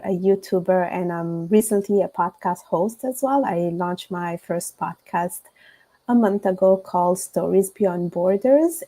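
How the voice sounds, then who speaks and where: A woman's voice: lowish voice, mellow, poor audio. A woman from Italy with a strong Italian accent, speaking earnestly as a podcast guest, with frequent fillers. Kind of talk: interview conversation.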